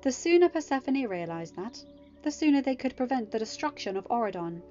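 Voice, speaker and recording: A woman reading a fan story aloud as narrator, at a steady, even pace. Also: on the high side, fairly quick. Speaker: fanfiction audiobook reader. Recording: muffled audio with soft music.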